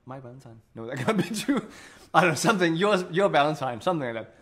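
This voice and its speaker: A man in his thirties with a Danish accent, co-hosting a livestream from home, searching haltingly for English words. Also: on the high side, lively intonation, a bit bright. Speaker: co-host of a music livestream. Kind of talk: casual chat. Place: living room at home. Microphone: studio mic on stand, far camera.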